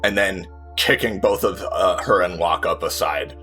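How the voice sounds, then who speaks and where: Male tabletop player, lowish voice with a sharp edge, deliberate third-person narration over faint music. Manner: deliberate, descriptive.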